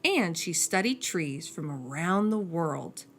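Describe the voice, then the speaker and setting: A woman reading a children's picture book aloud as a storyteller, slowing down and dropping soft and low on each refrain. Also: very animated, soft background music.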